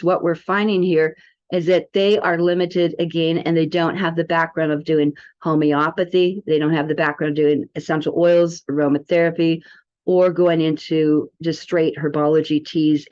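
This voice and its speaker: A woman's voice: lowish voice, darkish tone. A woman giving an informal, rambling product demo as an alternative health promoter, chatting directly to the viewers. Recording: dull lo-fi audio.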